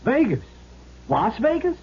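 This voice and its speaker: Very high-voiced, excitable henpecked husband. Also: male voice, unhurried, heavily acted. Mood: bewildered. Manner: startled, echoing what he hears. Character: dim, excitable, henpecked husband and would-be actor. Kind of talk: 1970s radio drama acting. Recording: very noisy, muffled old radio recording.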